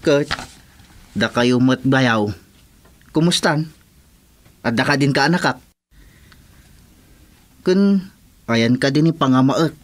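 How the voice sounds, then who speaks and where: Actor as slow-talking humble farmer. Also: male voice, medium pitch, close to an everyday voice. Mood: modest, friendly. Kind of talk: Ilocano radio drama acting.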